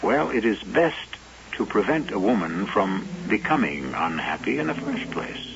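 Wry deep-voiced man hosting a radio drama. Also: very animated, moderate pace, gravelly, slight background noise. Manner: dry, theatrical, knowing. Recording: dull old radio broadcast with music.

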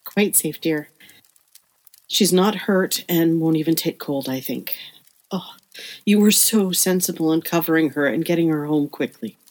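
A woman playing a gentle, wise middle-aged mother in an audio play, speaking in a soothing, earnest way. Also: sits low, unhurried. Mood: gentle reassurance. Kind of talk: audio play acting with narration.

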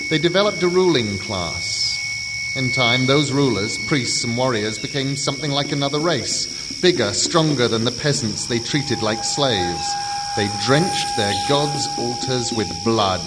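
An actor playing an earnest, scholarly man who expounds ancient history in an audio drama, halting and emphatic, full of rhetorical questions. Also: mid-range voice, animated, close to an everyday voice. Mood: intense storytelling. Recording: long reverb, loud ambient noise.

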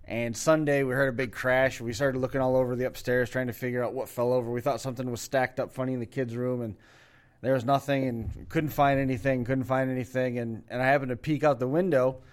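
A man in the middle of his pitch range talks through a long story on a casual podcast. He rambles, restarts his sentences and piles on details. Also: little pitch movement, fairly quick. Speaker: podcast co-host.

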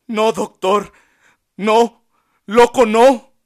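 Frantic male asylum patient in a storybook, high and loud, read by a man. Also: extremely slow. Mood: panicked. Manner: shrill pleading outbursts.

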